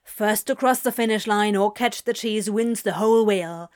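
A woman voicing a grumpy, irritated man who sounds flat and weary. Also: medium pitch, normal speed, natural voice.

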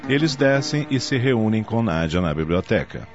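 A man gives dramatic scripted narration for a radio soap. His voice is lowish and lively in pitch, heard over faint music. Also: moderate pace. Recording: dull lo-fi audio, music underneath.

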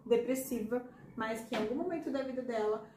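A hoarse woman with a slightly high voice hosts a casual podcast conversation and speaks earnestly straight to her listeners. The echoey room carries a faint background hiss. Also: brisk, darkish tone. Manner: earnest, addresses listeners directly. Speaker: podcast host and hypnotherapist.

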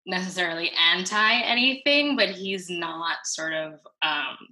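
Gravelly, bright-toned New woman. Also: on the low side. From New York City. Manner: thoughtful, halting, with many fillers and restarts. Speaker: interview guest. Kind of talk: casual reflective conversation.

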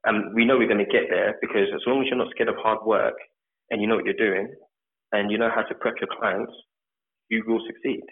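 A man from England with a London accent, a mortgage advisor answering interview questions in a relaxed way, chaining thoughts with lots of fillers. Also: fairly low pitch, a little fast. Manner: relaxed, talks in long run-on chains with fillers. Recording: tinny phone-like line.

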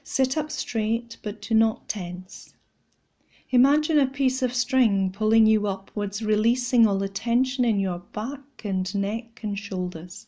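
Slow, mellow woman guiding a meditation. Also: mid-range voice. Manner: gentle, measured, instructional. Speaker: guided meditation leader.

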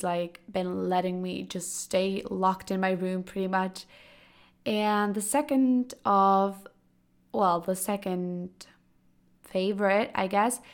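A young woman in her late teens talks through a solo podcast episode. Her voice is raspy and hoarse from a cold. She rambles casually, with plenty of fillers. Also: medium pitch. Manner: chatty, loose, full of "like" and "kinda". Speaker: podcast host.

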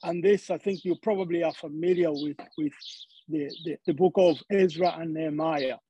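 A man's voice: fairly high pitch, steady tone, moderate pace, dark tone, highs cut off, slight background noise. A man from Zambia preaching a sermon, often repeating words as he searches for the next phrase.

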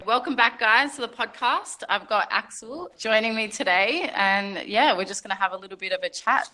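A woman's voice: a little high, normal speed, over music, low-quality recording. A woman hosting a podcast, asking friendly questions and laughing readily in casual chat. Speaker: podcast host.

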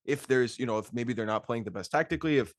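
A man co-hosting a casual football podcast, talking fast and animated and laughing often. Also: medium pitch, dry recording, studio quality. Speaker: podcast co-host. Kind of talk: casual sports chat.

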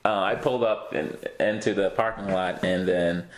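A man's voice: low voice, fairly even intonation, moderate pace, echoey room, slight background noise, rough recording. A young man from Haiti, a guest in a casual interview, talking easily with many fillers and frequent laughs.

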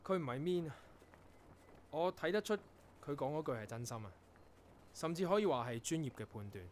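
A man acting in a Cantonese radio drama as an earnest, proud young composer, telling things in a reflective, measured way. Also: a little high, animated, a little mellow, a little hiss or hum, lightly acted. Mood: reflective, subdued. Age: young adult.

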